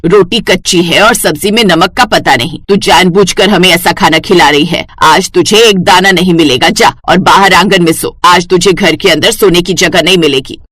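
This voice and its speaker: A woman's voice: on the low side, moderate pace, hardly any pauses, a bit bright, breathy edge, no room sound, clean studio sound. A woman voicing a cruel, greedy, domineering stepmother in a cartoon story, harsh and scolding. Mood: angry.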